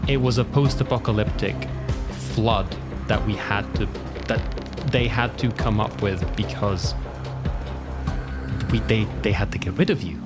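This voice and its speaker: A man's voice: lowish voice, unhurried, long pauses, loud music behind the voice. A man playing a tabletop game, talking sheepishly and in character at times. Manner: sheepish, apologetic. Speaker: player.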